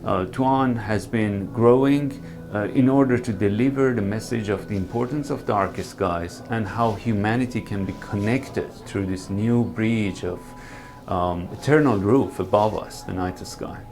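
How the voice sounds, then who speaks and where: Earnest man, low voice. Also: measured pace, music in the background. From Iran. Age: 40s. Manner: calm, earnest and reflective, with small hesitations. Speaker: night sky photographer. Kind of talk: documentary interview monologue.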